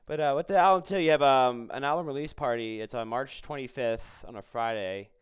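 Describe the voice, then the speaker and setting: A man's voice: medium pitch, a little fast, smooth. A man hosting a casual interview, talking informally and often stumbling over and repeating words. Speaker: interviewer, podcast host.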